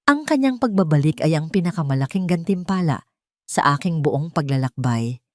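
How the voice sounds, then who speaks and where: A woman's voice: sits low, lively intonation, moderate pace, no room sound, clean studio sound. A woman with a Filipino accent reading a scripted first-person dramatic story as its narrator.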